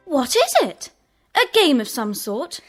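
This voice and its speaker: A woman's voice: sits high, very animated, unhurried, soft background music, heavily acted. A woman playing an eager young schoolgirl in a children's audio drama. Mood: curious, eager.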